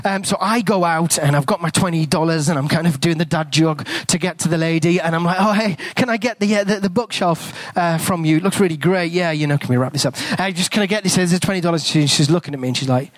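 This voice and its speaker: A man with an English accent preaches in a relaxed, storytelling way, his voice sitting a bit high for a man. He sometimes sinks into a hushed near-whisper when he prays. The sound is close and dry, with no room echo. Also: quick pace, few pauses. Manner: conversational storytelling, drops to a hushed whisper in prayer. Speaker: guest preacher. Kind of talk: sermon with a told story.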